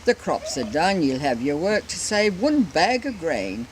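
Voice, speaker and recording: A woman's voice: fairly low pitch, big pitch swings, measured pace. An older woman voicing worried, drought-weary Australian country men grumbling emphatically in a poetry recitation on an amateur recording. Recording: very noisy amateur family home recording.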